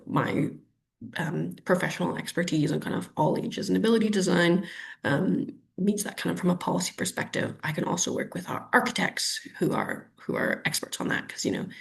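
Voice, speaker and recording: A woman's voice: low-pitched, creaky. A woman in her thirties, a guest in a webinar interview, chatty and thinking aloud with many ums and restarts. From Canada. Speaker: urban planner guest.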